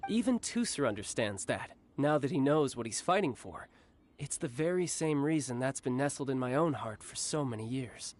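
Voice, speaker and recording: Brief: actor as a cocky, easygoing young warrior, teasing and smooth, with a lively, lilting read. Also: male voice, medium pitch, normal speed, close to an everyday voice. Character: cocky young male warrior, doting older brother, playful. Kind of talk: game cutscene voice acting.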